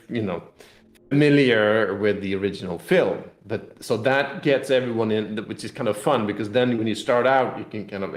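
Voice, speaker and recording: A low-pitched man talks in an echoey room, explaining a tabletop game as an interview guest. His delivery is casual and rambling, full of loose qualifiers as he works through each point. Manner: rambling, explanatory, hedging with "kind of". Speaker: game publisher representative being interviewed. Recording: roomy, echoey sound.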